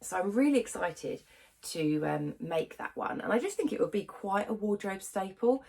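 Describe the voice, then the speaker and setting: A woman's voice: mid-range voice, animated, moderate pace, vocal fry, dark tone. A young woman hosting her sewing channel, chatting to camera with a stuffy nose from a cold, full of ums and little laughs. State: congested from a cold. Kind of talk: casual chat to camera.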